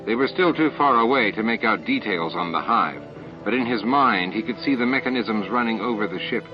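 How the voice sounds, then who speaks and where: Male audiobook narrator, lower register, science fiction prose, dull lo-fi sound with music underneath. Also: lively intonation, a little fast. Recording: muffled, band-limited tape audio.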